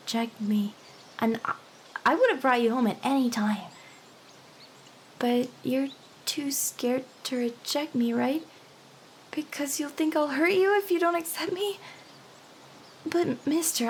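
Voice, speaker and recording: A woman's voice: high-pitched, a little hiss or hum. A woman voicing a lovelorn young female harpy in an anime ASMR roleplay, earnest and stammering, sometimes dropping into whispers. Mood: gentle, apologetic. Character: hyper, lovelorn young female harpy desperately courting a human.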